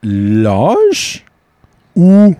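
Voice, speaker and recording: Podcast co-host, man, animated, fairly high voice, dry close recording. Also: extremely slow, dry recording. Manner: animated, laughing, mixes English words into French. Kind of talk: casual chat.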